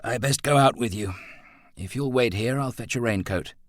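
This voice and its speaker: A man voicing a stern, gentlemanly father in an audiobook, patient but easily flustered, with clipped old-fashioned phrasing. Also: medium pitch, normal speed, dry recording, clean studio sound, voice pushed up, natural voice. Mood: brisk.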